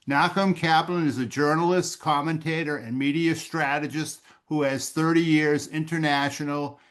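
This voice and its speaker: A man hosting an event, reading a prepared introduction of the guest speaker. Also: fairly high pitch. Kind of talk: formal introduction.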